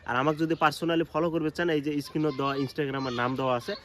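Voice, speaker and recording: A man's voice: a little high, fairly even intonation, rapid-fire, a bit bright, close to an everyday voice. A young man with a northern Bangladeshi accent acting in a comedy skit as a lovestruck, cheeky eldest son. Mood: friendly. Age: young adult. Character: lovestruck, cheeky eldest son who defies his father. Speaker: comedy skit performer and channel host. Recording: clearly noisy background.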